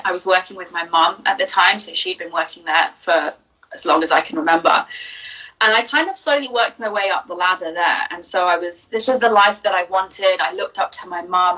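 A young woman from Jersey speaks as a guest in a casual interview. Her voice is bright and clear, and she tells her story openly, with lots of ums. She sits a little away from the mic. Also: medium pitch, recorded from a distance, low-quality recording. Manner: open, chatty storytelling with fillers. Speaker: business coach, guest.

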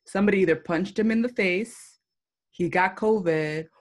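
A low-voiced woman co-hosting a podcast, talking in a loose, playful way and leaning on "like" as she goes. Her pitch sits in a steady middle range, and she sounds chatty and unhurried. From United States. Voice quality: low, deep voice. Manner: playful, chatty, full of "like" fillers. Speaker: podcast co-host. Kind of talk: casual chat.

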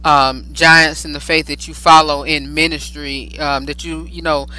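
A man's voice: a little high, little pitch movement, unhurried, sharp tone, rough recording. A man hosting a talk show, interviewing in a rambling way, with many fillers and frequent affirmations. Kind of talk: interview conversation.

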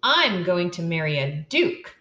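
A woman's voice: on the low side, very animated, measured pace, pushed and bright, highs cut off, full character voice. An older woman voicing a dreamy, somewhat boastful rich young girl in a storybook. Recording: roomy echo.

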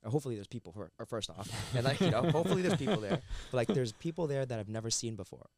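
A man from Canada, a chef and entrepreneur, chatting casually as a podcast guest in a studio, relaxed and full of fillers. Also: medium pitch, racing. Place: recording studio. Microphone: headset mic and headphones.

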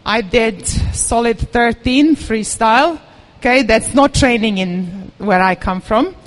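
A woman's voice: a little high, slow, rough recording. A woman giving a candid, self-deprecating personal talk to a live audience; she says she is nervous. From Serbia. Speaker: event speaker.

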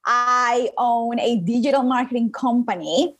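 A woman's voice: on the high side, a little slow, a bit bright. A young woman with a Colombian accent and Spanish as her first language, telling her own story as a guest in a conversational interview. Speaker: podcast guest, digital marketing business owner.

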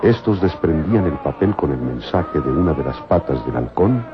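A man's voice: a bass voice, animated, normal speed. A man acting as the solemn, suspenseful narrator of an adventure radio serial, with declamatory scripted delivery. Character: solemn dramatic male storyteller of an adventure serial. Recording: dull old radio recording with music.

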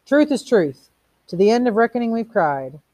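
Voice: medium pitch, very animated, normal speed, darkish tone, no echo, pitched above the performer's own voice, lightly acted. A reader voicing a mocking, erudite male fire captain who declaims quotations theatrically in a storybook reading. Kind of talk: audiobook character reading.

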